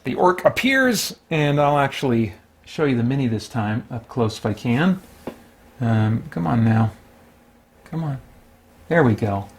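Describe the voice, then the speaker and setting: A man's voice: mid-range voice, sing-song, measured pace, long pauses. A man hosting a solo tabletop game, talking casually and thinking aloud in a rambling way as he plays. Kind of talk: casual game play-through commentary.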